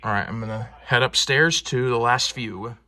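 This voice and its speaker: A man's voice: medium pitch, moderate pace, a loud crowd. A man giving a casual, unscripted show-and-tell as a hobbyist collector, in a hesitant, rambling, plain-spoken way. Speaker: hobbyist collector presenting weather radios.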